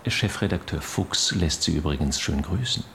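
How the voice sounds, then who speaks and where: An actor playing a slick, insistent political fixer in a radio drama, persuasive and grandly rhetorical. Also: male voice, extremely low pitch, animated, normal speed, gravelly, fairly bright tone, slight background noise, heavily acted. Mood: smooth pressure. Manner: rhetorical, emphatic, pronouncing slogans. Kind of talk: German radio drama acting.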